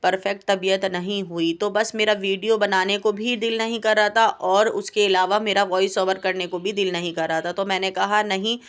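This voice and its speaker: A woman's voice: mid-range voice, steady tone, moderate pace, hardly any pauses. A woman with a sore, coughing throat, chatting in a rambling, plaintive voice-over for her cooking channel. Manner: plaintive, complaining, rambling with hesitations. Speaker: home baker and cooking channel host. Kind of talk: voice-over vlog chat. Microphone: home voice-over mic.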